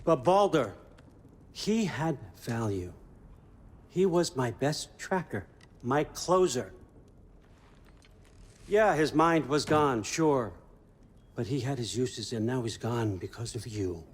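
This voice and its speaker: An actor playing a shrewd older Norse chief god in a video game, glib and casually threatening in a wry, conversational way. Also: male voice, medium pitch, lively intonation, slow, pauses often. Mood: cold grievance. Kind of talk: cinematic video game acting. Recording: faint background noise.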